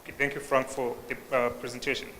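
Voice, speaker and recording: A man's voice: medium pitch, flat delivery, fast talker, bright, forceful tone, slight background noise. A man in the audience asking a string of questions at a conference, hesitant and full of fillers. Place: conference hall. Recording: roomy hall with long reverb.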